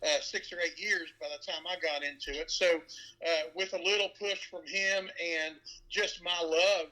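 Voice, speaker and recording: A man's voice: on the high side, fairly even intonation, moderate pace, bright, forceful tone, poor audio. A man with a nasal voice from West Virginia, chatting as an interview guest and rambling with many fillers. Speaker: guest, tribute band frontman. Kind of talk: casual interview chat.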